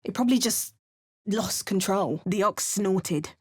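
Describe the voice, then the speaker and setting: A woman's voice: medium pitch, measured pace, husky, no echo. A woman delivering a game hero's lines with dry, understated wit and matter-of-fact narration. Her voice sits mid-range and sounds close and dead-dry, with no room around it. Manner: wry, understated asides and narration. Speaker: video game player character.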